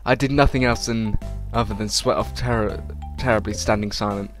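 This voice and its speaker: A man's voice: on the low side, steady tone, a little fast. Male let's player reading over game music. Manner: informal, stumbles over the text he reads. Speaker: let's play host reading aloud. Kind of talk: reading visual novel text with casual reactions.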